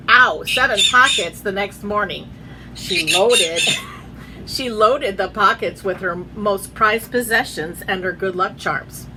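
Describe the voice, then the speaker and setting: A woman reading a children's picture book aloud in an expressive storytelling manner, chatting in asides to her pet. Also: mid-range voice, a little hiss or hum, poor audio. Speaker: read-aloud host, teacher.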